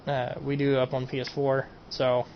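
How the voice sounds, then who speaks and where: A man's voice: mid-range voice, monotone, moderate pace. Guy rambling about game mods on stream, keeps saying and everything, dull muffled mic, faint hiss. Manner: rambling, repeats himself, trails off with "and everything". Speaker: gaming streamer. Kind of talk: casual mod showcase. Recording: dull lo-fi home recording, faint noise.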